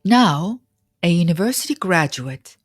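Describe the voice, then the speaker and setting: A woman reading a scripted children's biography as narrator, with expressive storytelling and deliberate phrasing. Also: fairly low pitch, slightly rough, soft background music.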